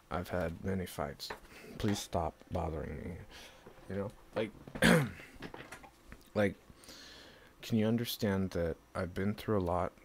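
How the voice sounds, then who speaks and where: Gravelly low-voiced rambling man. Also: a little hiss or hum. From Southern California. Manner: rambling, defensive, swearing, with sudden loud bursts. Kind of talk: rambling monologue.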